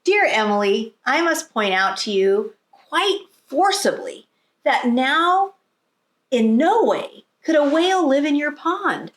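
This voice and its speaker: A woman's voice: high voice, voice pushed up. A woman voicing a polite, firm male schoolteacher in a storybook, patient, formal and matter-of-fact. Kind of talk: storybook read-aloud.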